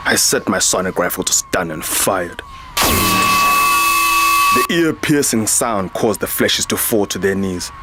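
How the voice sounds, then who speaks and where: A man's voice: low voice, animated, unhurried, long pauses, noisy background. A man playing a jaded, vain warrior and security chief, narrating a science fiction audio drama with sardonic weariness. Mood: grim. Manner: sardonic, world-weary. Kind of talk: first-person scripted sci-fi narration.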